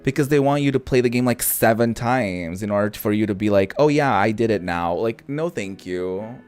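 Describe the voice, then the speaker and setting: A man doing casual let's-play commentary, thinking out loud with some mild swearing over background music. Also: lowish voice, animated, moderate pace. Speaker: gaming host. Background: background game music.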